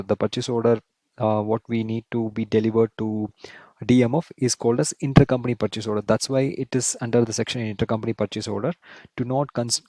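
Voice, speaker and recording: Man presenting a dry-sounding software tutorial. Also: mid-range voice, no echo. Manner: step-by-step, repetitive explaining, often checks with "right?". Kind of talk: screen-share software walkthrough, semi-scripted explanation.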